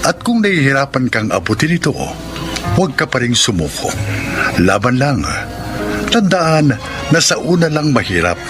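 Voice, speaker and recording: A male announcer giving an uplifting closing message and reading the credits in a measured, scripted way. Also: medium pitch, big pitch swings. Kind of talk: scripted radio narration. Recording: music playing under voice. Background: music.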